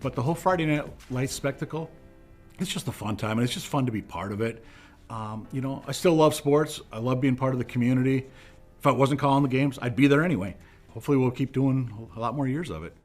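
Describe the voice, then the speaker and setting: A man's voice: mid-range voice, fast talker, faint music behind. A man who announces local school games, talking in an easygoing, earnest way in an interview. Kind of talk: interview talk.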